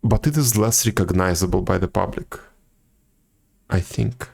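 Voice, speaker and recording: Man, game streamer, lowish pitch, creaky, casual chat, low-key halting delivery. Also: a little mellow.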